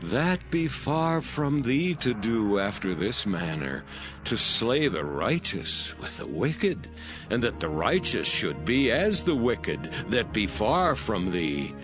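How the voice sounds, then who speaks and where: An actor playing a humble, earnest, reverent old patriarch in a dramatized scripture reading. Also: male voice, fairly low pitch, big pitch swings, slow. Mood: earnest pleading. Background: music.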